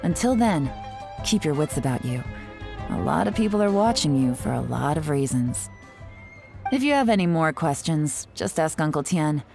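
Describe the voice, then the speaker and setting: A woman's voice: low voice, sing-song, normal speed, gravelly, music in the background, full character voice. A woman playing a sly, confident secret agent in a video game, poised and playfully knowing. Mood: teasing, assured.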